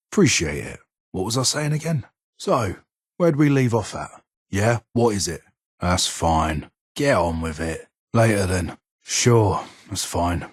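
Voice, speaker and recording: An actor playing a gruff, guarded, middle-aged English gunsmith in a video game, speaking in a curt, grumbling way with a husky rasp. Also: male voice, deep voice, dry recording, studio quality, full character voice. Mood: bitter, guarded, then brusque, busy. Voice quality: husky, raspy. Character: gruff, weary, guarded English male gunsmith, survivor of captivity. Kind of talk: video game character dialogue.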